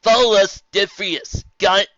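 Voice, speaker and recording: A man's voice: sits high, steady tone, normal speed, bright, forceful tone, no room sound, muffled recording, voice pushed up. A man voicing a confident young female pony who takes charge.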